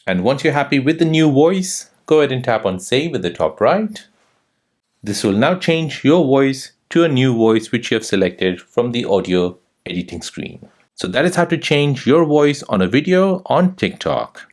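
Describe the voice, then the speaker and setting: A man's voice: medium pitch, very animated, normal speed. A man hosting a how-to tutorial, giving scripted step-by-step instructions. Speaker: tutorial host.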